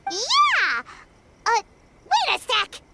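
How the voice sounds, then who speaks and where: A woman's voice: huge pitch swings, very slow, very noisy, full character voice. A woman voicing a tiny, childlike, outspoken fairy companion in a video game. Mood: excited. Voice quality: squeaky, childlike. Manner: exclamatory, cutesy and folksy phrasing. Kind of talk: English video game character acting. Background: music.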